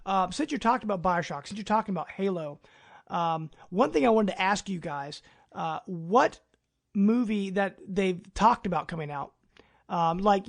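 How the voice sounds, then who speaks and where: A man's voice: high-pitched, gravelly. A man speaking as a podcast host in a casual discussion.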